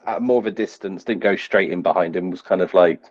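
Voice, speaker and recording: Low-voiced man, dull dry sound. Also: a little fast. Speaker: player in a tabletop game. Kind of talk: casual in-game talk.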